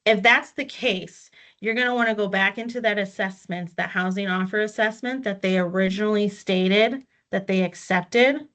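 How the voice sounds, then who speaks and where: A woman's voice: medium pitch, moderate pace, hardly any pauses, fairly bright tone. A woman trainer patiently walking staff through a records system step by step on a video call. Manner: patient, methodical step-by-step explaining. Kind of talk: online training walkthrough. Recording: dull lo-fi audio.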